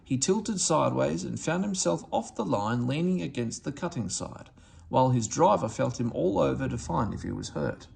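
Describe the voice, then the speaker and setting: A man's voice: mid-range voice, lively intonation, normal speed, gravelly, a little mellow, husky. A man reading a children's story aloud as a scripted narration.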